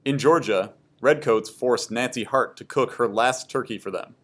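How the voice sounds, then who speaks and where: A man's voice: mid-range voice, normal speed, a bit bright. A man reading scripted educational history narration aloud for a class.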